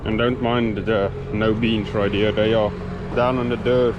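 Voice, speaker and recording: Man narrating farm chores. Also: fairly low pitch. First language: Afrikaans. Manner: relaxed, halting with many fillers, explaining as he works. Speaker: farmer. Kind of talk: casual farm vlog walkthrough. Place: outdoors on a farm by irrigation pumps. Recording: dull lo-fi sound with loud outdoor noise.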